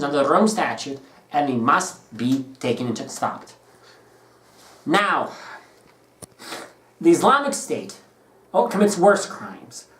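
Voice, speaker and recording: Male vlogger, earnest and opinionated, halting delivery, distant mic in an echoey room. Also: fairly high pitch. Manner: earnest and opinionated, often stumbling and restarting phrases. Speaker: political commentary vlogger. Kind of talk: unscripted opinion monologue to camera. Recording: roomy sound, speaker away from the mic.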